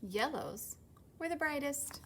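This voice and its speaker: A woman's voice: high-pitched, animated, slow, a bit bright. A woman with an American accent hosting a children's story time, talking simply and putting questions to young listeners. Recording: noticeable background noise.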